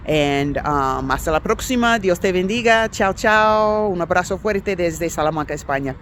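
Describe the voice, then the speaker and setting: A woman's voice: fairly low pitch, animated, moderate pace, fairly bright tone, a little hiss or hum. A woman whose first language is English, giving a casual vlog lesson as a language teacher, chatty and hesitant with many fillers, switching between languages.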